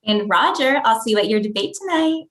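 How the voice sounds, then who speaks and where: A woman plays a sweet, flirty lady of sixty in a comedy read. Her voice is fairly high and chirpy, heard in a roomy, echoing space. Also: animated, fairly quick, fairly bright tone, a little hiss or hum. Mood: friendly, flirty. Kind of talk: comedy script table read. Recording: echoey room.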